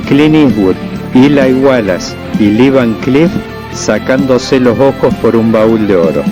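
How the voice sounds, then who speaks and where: A man reading a scripted podcast intro in a measured, announcer-like way. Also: mid-range voice, expressive. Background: loud guitar music.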